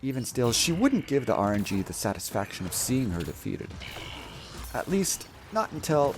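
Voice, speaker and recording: A man's voice: medium pitch, sing-song, moderate pace, faint music behind. A man delivering scripted mock-epic narration about a video game in a grandiose, theatrical, mock-solemn manner. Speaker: video storyteller and gamer.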